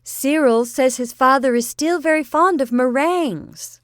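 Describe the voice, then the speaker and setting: A woman's voice: medium pitch, animated, dry recording, studio quality. A woman reading an audiobook voices a fussy, anxious middle-aged spinster, prim and careful in manner. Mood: coaxing. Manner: prim, bright, slow and careful.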